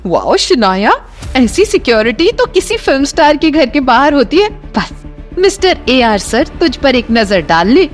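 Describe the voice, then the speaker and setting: A scheming middle-aged mother barks orders with scorn, as a woman puts her on. Her voice is fairly high and rises and falls a lot. Soft music plays under her. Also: very animated, unhurried. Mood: excited. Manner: scornful, bossy.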